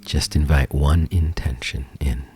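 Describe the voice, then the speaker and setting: A man guiding a meditation in a soothing, gentle manner, leaving long pauses, with soft, breathy phrase endings. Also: very deep voice, noisy background. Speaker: meditation teacher and guide. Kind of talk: guided meditation. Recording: calm music bed behind voice. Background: meditation music.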